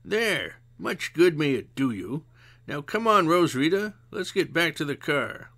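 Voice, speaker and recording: Man voicing a wry, calm older woman. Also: on the high side, unhurried, pitched above the performer's own voice, heavily acted. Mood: curt. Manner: dry, knowing, gently scolding.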